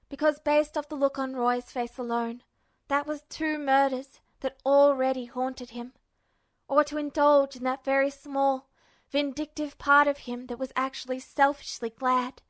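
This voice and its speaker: Woman reading a story out loud, pretty high voice, expressive, really dry close sound. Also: dry recording. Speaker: audiobook narrator. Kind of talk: expressive scripted fiction narration.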